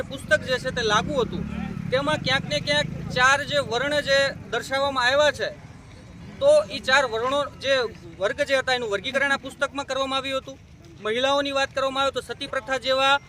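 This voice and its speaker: Man, very high voice, Gujarati accent, wordy explanation, clear outdoor traffic noise. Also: bright, forceful tone. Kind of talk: explanatory spoken statement to media. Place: outdoors in a town. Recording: noisy outdoor street with vehicles.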